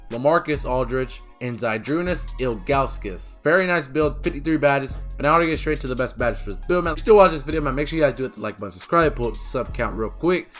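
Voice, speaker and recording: A man giving a casual, eager game walkthrough with a hoarse, recovering voice, often dropping in "man" and his personal picks. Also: fairly high pitch, fairly bright tone. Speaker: gaming YouTuber.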